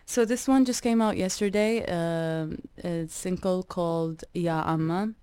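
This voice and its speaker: A young adult woman from Iraq, a musician guest in a radio interview, talking reflectively with many pauses and restarts. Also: lowish voice, dark tone, clear, resonant tone, dry recording.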